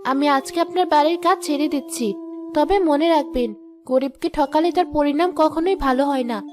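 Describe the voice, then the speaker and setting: A woman's voice: high voice, moderate pace, close to an everyday voice. A woman voicing a proud, plain-spoken poor housemaid and young mother in a cartoon moral story, sounding plaintive and reproachful. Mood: indignant. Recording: music behind the voice.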